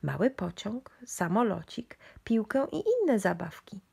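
A woman storyteller reading a children's bedtime book. Her delivery is expressive and swoops in pitch, in a breathy, husky voice with some creak at the edges. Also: unhurried. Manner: expressive, addressed to young children.

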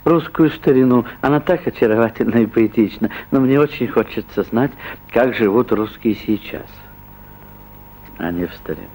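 A man's voice: mid-range voice, normal speed, a little mellow, lightly acted, low-quality recording. Picture a man with a mid-range voice, acted on stage, a foreign journalist who is curious about everyday life. He stays courteous and gallant and pays graceful compliments. His delivery is polished and polite. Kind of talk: stage play acting.

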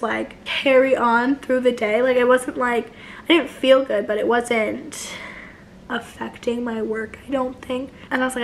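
A young woman in her twenties with a hoarse, failing voice, chatting casually to camera from her couch, rambling with many restarts. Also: on the high side, fairly bright tone, a little hiss or hum, low-quality recording. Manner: rambling, many likes and restarts, gestures a lot. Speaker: vlogger. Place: living room couch, indoors.

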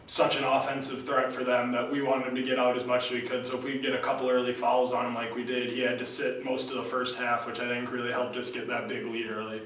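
A man's voice: mid-range voice, monotone, quick pace, hardly any pauses, fairly bright tone. Young man, casual postgame talk, very echoey. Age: young adult. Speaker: college basketball player. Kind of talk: postgame interview answers. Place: small room at a team backdrop. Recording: very echoey room, distant mic, faint hiss.

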